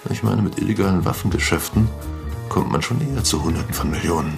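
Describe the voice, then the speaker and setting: An actor playing a sober, deferential police assistant in a radio crime drama, measured and matter-of-fact. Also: male voice, low voice, creaky, over music. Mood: deducing.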